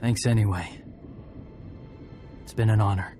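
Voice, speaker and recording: A man's voice: lowish voice, steady tone, measured pace, lightly acted. Man acting determined, clipped young warrior. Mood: resolute. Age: young adult. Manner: clipped, earnest. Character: determined, stoic young male Mandalorian warrior. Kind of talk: dramatic video game dialogue. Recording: faint game music behind the voice.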